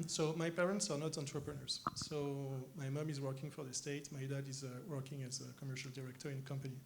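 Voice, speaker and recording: A young man from France with a French accent, answering stage interview questions thoughtfully with frequent pauses. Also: mid-range voice, little pitch movement, gravelly, slight background noise. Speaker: interviewed guest, company co-founder. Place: conference arena stage.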